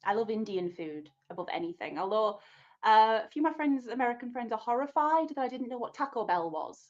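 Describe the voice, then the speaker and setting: A woman's voice: on the high side, expressive, a little fast, a little mellow, dull audio. A woman from England, a podcast guest giving playful, opinionated rants in casual chat.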